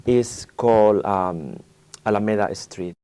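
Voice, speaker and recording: A man interviewing a guest in a measured, conversational style, pausing as he shapes his questions. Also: lowish voice, warm tone, clean tone.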